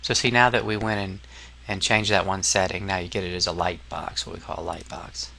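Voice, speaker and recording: A man narrating a casual software tutorial as an instructor, explaining step by step in an informal, somewhat hesitant way. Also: deep voice, level tone, a little fast, gravelly, a little hiss or hum.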